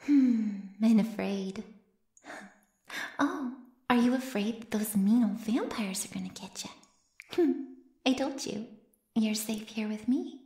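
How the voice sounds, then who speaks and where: A woman's voice: fairly high pitch, mellow. A woman playing an ancient, smugly teasing female vampire in an intimate ASMR roleplay, murmuring coyly and often slipping into a whisper. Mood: mock-soothing, teasing. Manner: coy, breathy murmurs that often drop to a whisper. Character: ancient predatory female vampire, playfully menacing, smugly teasing her captive. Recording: roomy cave-like echo.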